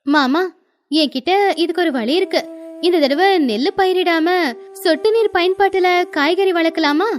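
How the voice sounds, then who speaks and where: A woman's voice: extremely high pitch, normal speed. A woman voicing an earnest, dutiful young village daughter-in-law who speaks respectfully in a moral-story cartoon. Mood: confident, respectful.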